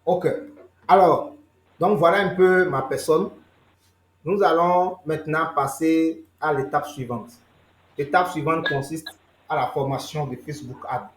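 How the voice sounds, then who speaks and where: A man's voice: a little high, steady tone, measured pace, roomy sound. A young man from Benin with a Beninese French accent, giving an online training session and checking in with his listeners as he explains. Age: young adult. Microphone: video call.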